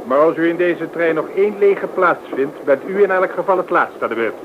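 A man playing a cheeky grumbler of a passenger. The voice is rather high. It is heard through a thin, noisy old radio recording. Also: a little fast, narrow, tinny audio, natural voice. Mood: snippy. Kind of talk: Dutch radio play acting.

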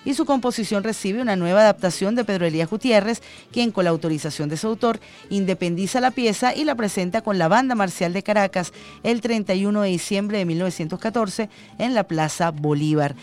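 A woman's voice: mid-range voice, fairly quick, a little mellow, soft background music. A middle-aged Venezuelan woman hosting a radio show, reading news and chatting about her own trips with fillers. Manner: chatty, personal anecdotes with fillers. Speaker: radio host. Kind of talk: radio news and call-in chat.

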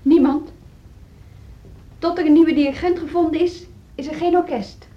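An actress playing a hesitant, earnest woman music teacher in a naturalistic radio drama, speaking haltingly. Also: high voice, fairly even intonation, warm tone, muffled recording, close to an everyday voice. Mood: firm.

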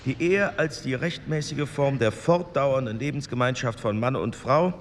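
A man's voice: mid-range voice, moderate pace, faint music behind, lightly acted. Man acting a formal chairman in an echoing hall. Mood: formal, orderly. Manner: formal, procedural. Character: formal, orderly male committee chairman. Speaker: actor in a documentary radio play. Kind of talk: re-enacted committee procedure. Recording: echoey hall with background noise.

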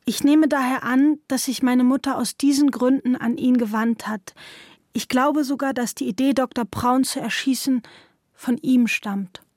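Actress as a high-voiced, sober daughter testifying. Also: female voice, steady tone, normal speed, darkish tone, no room sound, clean studio sound, natural voice. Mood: sober, factual. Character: adult daughter giving a sober witness statement. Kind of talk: scripted documentary reading of testimony.

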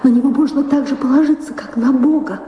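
A woman's voice: high voice, a little fast, muffled recording, lightly acted. An actress playing an anxious, imperious married noblewoman in an audio play, with a dramatic, commanding delivery. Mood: earnest, insistent. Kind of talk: audio play stage acting. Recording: echoey hall, noisy old radio-play recording.

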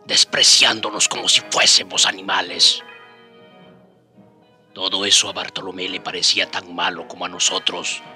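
Casting: earnest, dignified narrator for a radio drama, lowish pitch, measured, over music. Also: male voice, bright, forceful tone.

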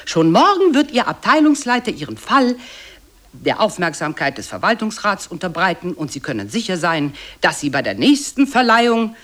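Grandiloquent female radio-play narrator, low register, highly animated, satirical office tale. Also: normal speed. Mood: grandiose. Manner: grandiloquent, rolling long lists. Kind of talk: scripted radio play narration addressed to "you".

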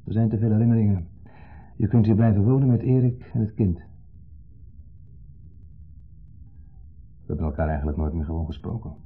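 An actor playing a wistful, sentimental divorced man in a 1970s Dutch radio play, speaking haltingly and reflectively in short fragments. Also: fairly low pitch, close to an everyday voice. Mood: subdued, earnest. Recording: muffled, lo-fi 1970s radio recording.

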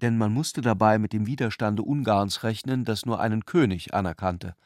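Male narrator for literary radio drama: low register, measured, exact delivery, dry studio acoustic. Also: a little mellow, no room sound.

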